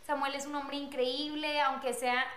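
A young woman telling a personal story in casual chat, animated and quick to laugh. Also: sits high, roomy sound, a little hiss or hum. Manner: animated, laughs often, acts out quotes. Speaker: guest telling their love story.

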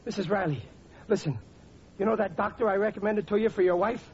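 An actor playing an excitable, boastful, dim-witted middle-aged working-class husband in a 1940s radio sitcom, blustering in ungrammatical speech. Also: male voice, sits high, fairly quick. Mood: flustered. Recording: muffled, noisy old 1940s radio recording.

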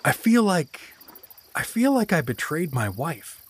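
Man playing a bumbling apologetic hero, raspy-edged, highly animated, hesitant, folksy, comedy audio drama. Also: unhurried, exaggerated voice. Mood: guilty. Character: bumbling, apologetic married everyman hero, good-natured and flustered.

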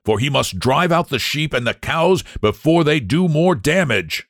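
A man playing an angry, commanding country squire in a storybook tale, calling out loudly. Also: fairly high pitch, lively intonation, measured pace, no echo, studio quality, pitched above the performer's own voice. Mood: impatient. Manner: loud, commanding calls.

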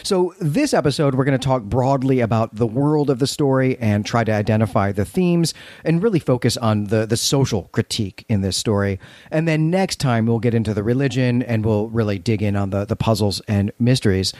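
A man's voice: medium pitch, brisk, darkish tone, dry recording. A man talking casually as a podcast co-host, chatty and lightly self-deprecating.

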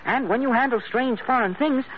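A man's voice: very high-pitched, moderate pace. A male actor playing an eager, earnest runaway boy in an old radio drama, polite and hesitant. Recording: tinny, noisy old radio recording.

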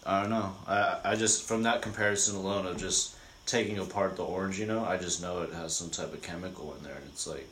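Young man, very deep, halting talker, roomy echo. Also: fairly even intonation, fairly bright tone. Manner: halting, searching for words, casual swearing. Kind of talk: casual chat. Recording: echoey room with faint noise.

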